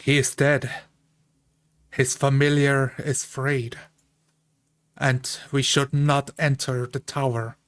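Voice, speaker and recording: A man playing a grave, wary adventurer in a tabletop game, speaking in formal, measured, terse phrases. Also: mid-range voice, little pitch movement, a bit bright, no room sound.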